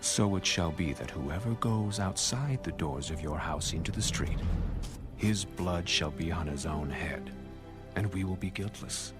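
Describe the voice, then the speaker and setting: A man's voice: low-pitched, unhurried. A man playing a stern ancient Israelite spy in a dramatized Bible reading, solemn and formal. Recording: background music under the voice.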